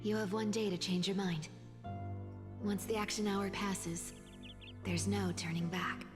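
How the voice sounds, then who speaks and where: A woman's voice: medium pitch, steady tone, a little slow, natural voice. A woman playing a guarded, self-doubting rogue in a video game, with a blunt, wry, hesitant way of talking. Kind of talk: dramatic video game acting. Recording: long reverb, music behind.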